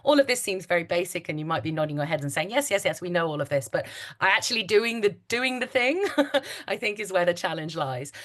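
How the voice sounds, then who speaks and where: Woman, low pitch, online presenter on a video call, brisk delivery, filler words. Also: animated, a bit bright. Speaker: panel speaker.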